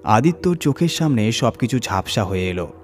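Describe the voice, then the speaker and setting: A man's voice: medium pitch, big pitch swings, moderate pace, darkish tone, faint music behind. A man reading a scripted horror story as its narrator, in a dramatic storytelling style.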